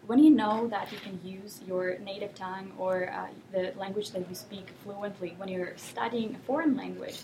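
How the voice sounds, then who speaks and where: A young woman from Russia speaks English as a second language, her voice gravelly with a touch of fry. She answers thoughtfully, pausing often with fillers, over faint noise. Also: mid-range voice, steady tone, dark tone, low-quality recording. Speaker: guest. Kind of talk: answering interview questions.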